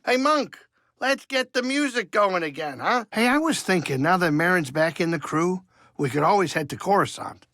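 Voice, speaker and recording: An actor playing a cranky but soft-hearted older alien saloon keeper in a video game, gravelly, wisecracking and folksy. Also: male voice, high-pitched, moderate pace, no room sound, studio quality. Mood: wry banter. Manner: grumbling, wisecracking, excitable, folksy. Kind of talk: video game character dialogue.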